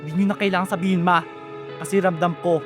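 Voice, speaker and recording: A man's voice: high-pitched, flat delivery, fast talker, over music, natural voice. A young man with a Filipino accent playing a weary, resentful eldest son who supports his family, earnest and emotional, in an amateur radio drama. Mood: hurt, frustrated. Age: young adult.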